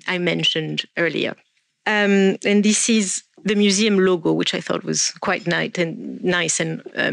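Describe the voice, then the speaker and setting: A woman gives a talk from a lectern, speaking in a measured way with frequent ums and restarts. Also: lowish voice, fairly bright tone, dry recording. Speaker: art researcher giving a talk. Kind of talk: presentation lecture. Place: indoor stage at a lectern. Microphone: stand mic at lectern.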